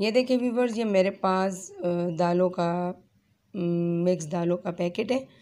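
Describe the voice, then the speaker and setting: A woman with a hoarse, sore throat, chatting straight to viewers as she hosts a casual home cooking tutorial, filmed on a phone in her kitchen. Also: mid-range voice, unhurried, mellow. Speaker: cooking channel host.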